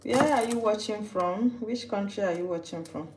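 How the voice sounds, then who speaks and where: A woman's voice: mid-range voice, normal speed. A Nigerian woman from Nigeria, hosting a live-stream cooking chat, teasing viewers and restarting her sentences. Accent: Nigerian English. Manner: chatty and teasing, with frequent restarts. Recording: echoey room with background noise.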